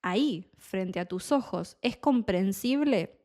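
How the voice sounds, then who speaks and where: Slow, deliberate woman from Buenos Aires. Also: medium pitch, expressive, warm tone. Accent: Buenos Aires Spanish. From Argentina. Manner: deliberate, explains words as she goes. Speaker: Spanish tutor. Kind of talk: slow, clear teaching monologue.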